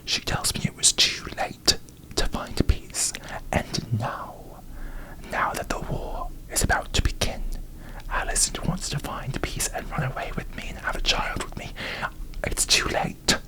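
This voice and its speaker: A man voicing a haughty, bitter young fantasy queen in a breathy ASMR whisper, with pompous, formal diction, as parody. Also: measured pace, natural voice, poor audio. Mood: wounded, bitter.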